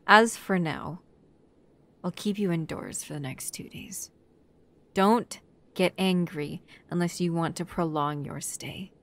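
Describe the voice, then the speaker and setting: Woman acting protective girlfriend, unhurried, lowish voice, stern but tender, whispery ASMR roleplay. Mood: stern, upset. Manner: soft, breathy near-whispers mixed with stern scolding. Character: protective, upset young human woman scolding vampire lover.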